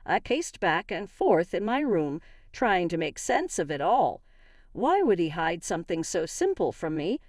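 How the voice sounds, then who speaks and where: A woman reading scripted first-person drama narration with emphatic, expressive storytelling. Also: fairly low pitch, unhurried, dry recording, clean studio sound.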